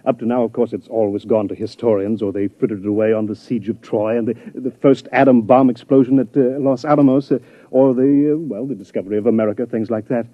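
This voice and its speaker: A man's voice: medium pitch, steady tone, fast talker, no room sound, lightly acted. A man playing a grandiose, fussy art scholar who has traveled back from the future, in a 1950s radio drama. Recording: muffled old radio broadcast.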